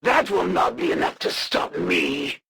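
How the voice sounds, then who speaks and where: A man playing a proud, honor-bound alien assassin in a video game, coldly menacing, with formal, haughty, deliberate speech. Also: sits high, expressive, gravelly, a bit bright, a little hiss or hum, heavily acted. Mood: defiant. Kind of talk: scripted video game villain voice lines.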